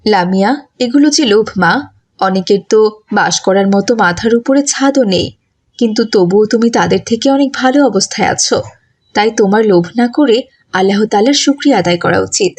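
A woman voicing a kind, patient schoolteacher in a cartoon, gently giving moral instruction. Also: mid-range voice, moderate pace, husky. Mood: patient, instructive. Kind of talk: cartoon moral-tale dialogue.

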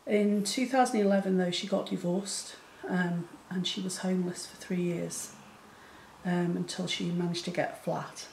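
A woman in her forties speaking earnestly to camera in a small room, slow and hesitant with many fillers. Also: on the low side, fairly even intonation, creaky, darkish tone. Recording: echoey room, faint noise.

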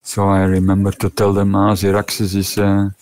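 A man's voice: low voice, monotone, normal speed, darkish tone. A man from Belgium chatting casually over voice chat, easygoing and chuckling often. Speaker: fellow player on voice chat.

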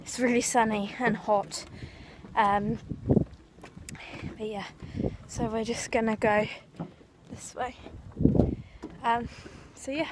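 A woman's voice: a little high, fairly even intonation, slow pace, pauses often. A teenage girl chatting casually into a phone held at arm's length outdoors, rambling with lots of ums and likes. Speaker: vlogger. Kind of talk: casual vlog chat. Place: outdoors at a sunny marina and woodland path. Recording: noisy outdoor phone recording.

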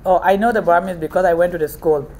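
A man's voice: high-pitched, fairly even intonation, fast talker, darkish tone, a little hiss or hum. A young man from Myanmar, a native Rohingya speaker, giving an earnest talk as a guest speaker in a classroom, filmed by a far camera. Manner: earnest, storytelling with hand gestures.

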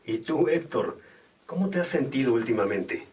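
An actor playing a kindly, attentive priest guiding novices in a radio drama. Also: male voice, mid-range voice, expressive, moderate pace, distant mic, muffled recording, a little hiss or hum, close to an everyday voice.

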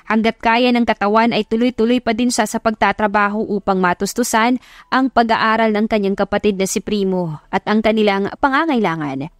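A woman's voice: medium pitch, fairly quick, no room sound, clean studio sound. A woman giving scripted narration of an audiobook love story.

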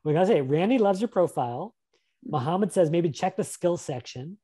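Man running a career webinar on a video call, bouncy voice, kinda mellow, cheerful and encouraging. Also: on the high side, animated, normal speed, husky. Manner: upbeat, encouraging, asks questions. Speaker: career workshop presenter. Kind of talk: live webinar presentation. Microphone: webcam on a video call.

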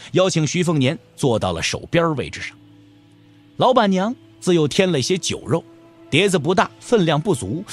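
Male audio drama narrator: colloquial, expressive, widely varied intonation, music faintly underneath. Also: fairly high pitch, breathy edge. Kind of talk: scripted dramatic storytelling narration.

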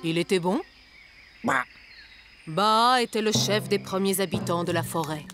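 An actress playing a solemn woman judge of a forest tribe in a cartoon, telling tales in a deadpan manner. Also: medium pitch, unhurried, close to an everyday voice. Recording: music behind the voice.

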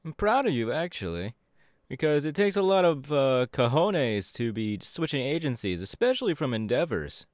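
Upbeat young male hero played by a man for anime audio roleplay: animated pitch swings, casual hesitant delivery, dry close sound. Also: moderate pace, no room sound, natural voice. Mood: friendly, chatty. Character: upbeat, easygoing young male superhero with electric powers. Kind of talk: audio roleplay, chatty anime character acting.

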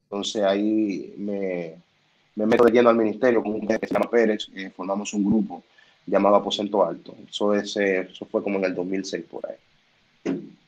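A man from the Dominican Republic speaking calmly and measuredly on a video call, with pauses and hesitations. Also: fairly low pitch, poor audio. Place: home room, indoors. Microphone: laptop webcam on video call.

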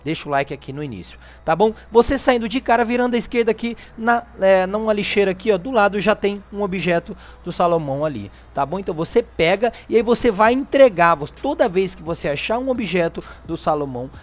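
A man's voice: high-pitched, lively intonation, brisk, hardly any pauses. A Brazilian man hosting a gaming channel, giving a casual, chatty walkthrough in informal speech peppered with little check-in tag questions. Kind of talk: casual video game walkthrough commentary.

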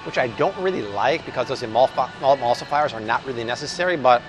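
A man's voice: medium pitch, lively intonation, fast talker, music in the background, low-quality recording. An American man in his thirties, upbeat and chatty, giving a casual, enthusiastic product review as a vlog host in a supermarket. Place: large supermarket aisle, indoors. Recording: clear store ambience and hum.

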